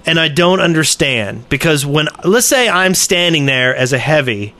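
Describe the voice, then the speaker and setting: A man's voice: on the high side, expressive, moderate pace, bright, forceful tone. A man co-hosting a casual gaming podcast, talking in a hesitant, self-questioning way. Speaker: podcast co-host.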